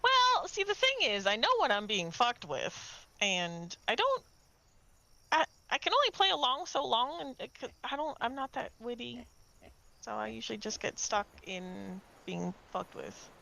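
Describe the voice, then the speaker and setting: Creaky, bright-voiced teasing woman. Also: on the high side, very animated, measured pace, low-quality recording. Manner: dry teasing, playful threats. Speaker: roleplayer. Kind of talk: in-character roleplay chat.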